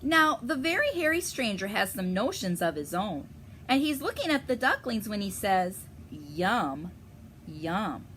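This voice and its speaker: A woman reading a picture book aloud to children in a teacherly way, pausing to explain and ask them questions. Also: on the high side, big pitch swings, a bit bright, a little hiss or hum. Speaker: story reader for children.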